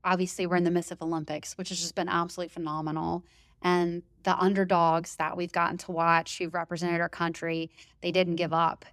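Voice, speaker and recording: Loud, enthusiastic woman with a smooth low voice. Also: little pitch movement, fairly quick, dry recording, clean studio sound. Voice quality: loud, emphatic voice. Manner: enthusiastic, chatty, laughs easily. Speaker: running podcast host, interview guest. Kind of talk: casual interview chat.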